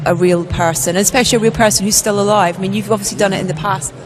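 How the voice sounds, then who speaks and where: Fast talking red carpet interviewer, upbeat questions, faint urban noise. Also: mid-range voice, steady tone. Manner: quick, upbeat presenter questions. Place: outdoor red carpet event.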